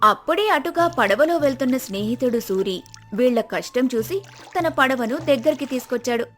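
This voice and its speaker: A woman's voice: high voice, animated, normal speed, fairly bright tone, faint music behind. A woman storyteller reading a scripted moral tale as narration.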